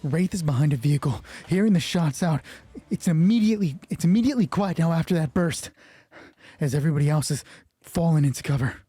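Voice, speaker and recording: High-pitched man with a soft husky voice. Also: fast talker, no echo. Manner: excited, thinking aloud, swearing freely. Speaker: solo roleplay game master and player. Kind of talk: improvised tabletop game narration with dice talk.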